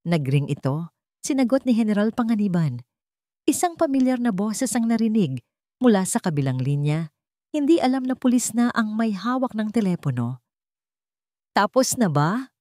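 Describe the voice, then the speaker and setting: A woman with a Filipino accent narrating a scripted dramatic story in a measured, theatrical way, phrase by phrase. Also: medium pitch, big pitch swings, dark tone, no echo, studio quality.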